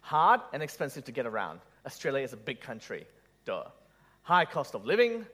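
Lively-voiced Malaysian-raised man preaching. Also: mid-range voice, moderate pace, husky. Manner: conversational, joking, asks the audience questions. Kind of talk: sermon with audience interaction.

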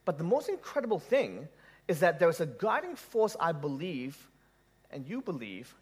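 A man who grew up in Malaysia delivers a sermon in a church hall, speaking in a fairly high voice. His pitch swings widely as he jokes and throws questions at the congregation. The tone stays conversational rather than formal. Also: very animated, moderate pace, husky. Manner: conversational, joking, asks the audience questions. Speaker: preacher. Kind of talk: sermon with audience interaction.

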